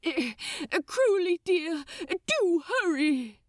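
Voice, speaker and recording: A woman voicing a fussy, excitable male angel in a story reading, genteel and fluttery in manner. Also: very high-pitched, slow, no echo, studio quality, pitched above the performer's own voice, full character voice. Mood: anxious.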